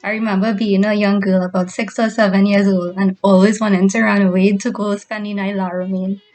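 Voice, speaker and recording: A woman's voice: medium pitch, level tone, fairly quick, a little mellow, soft background music. A woman speaking in a personal farewell tribute as a grandchild remembering a grandmother.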